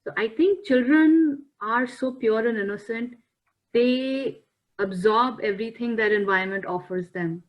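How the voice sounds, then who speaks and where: A woman's voice: a little high, unhurried, highs cut off. A woman, speaking thoughtfully and earnestly as a guest on a talk show. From India. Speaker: panel guest. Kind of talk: reflective talk-show discussion. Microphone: video call.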